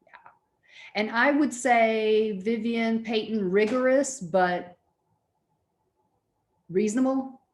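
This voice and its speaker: A woman's voice: on the high side, slow, long pauses. A middle-aged woman giving an informal college lecture, explaining things in a conversational way.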